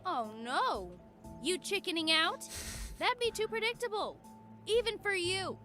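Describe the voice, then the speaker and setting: A woman's voice: very high voice, lively intonation, a little slow, sharp tone, exaggerated voice. A woman voicing a brash, taunting young female student mage in a game. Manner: mocking, defiant. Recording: game audio with faint music.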